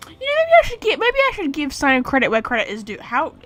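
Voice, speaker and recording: High-pitched, animated woman livestreaming a game. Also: fast. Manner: chatty, reacting aloud and talking to chat. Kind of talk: casual live game commentary.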